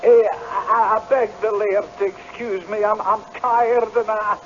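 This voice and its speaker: A humble piper speaks in a high, earnest voice, fearful yet stubborn. It has the sound of a dull old radio play with faint noise. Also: male voice, level tone, unhurried, a bit bright. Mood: fearful, pleading. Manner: pleading, deferential, earnest. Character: humble, frightened but stubborn Scottish piper. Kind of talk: old-time radio drama acting. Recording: dull, faintly noisy vintage radio broadcast.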